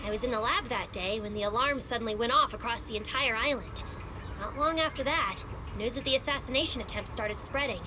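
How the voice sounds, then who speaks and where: A woman playing an upbeat young female guide in a game, chatty, brisk and reassuring as she shows newcomers around. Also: fairly high pitch, background noise, lightly acted. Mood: matter-of-fact, explaining. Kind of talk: animated game voice acting. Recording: background music under the voice.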